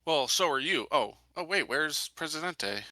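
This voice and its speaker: Sharp, forceful-voiced male game player. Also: fairly high pitch, expressive, normal speed, dry recording. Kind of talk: casual game table chat.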